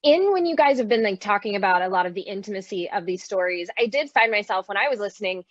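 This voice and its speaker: A woman's voice: mid-range voice, animated, quick pace, no room sound, dull audio. A woman moderating a panel interview over a video call.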